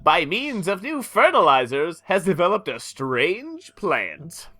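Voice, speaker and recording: A man co-hosting a comedy podcast, reading a comic aloud in a slow, theatrical way between banter. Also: high-pitched, very animated, sharp tone.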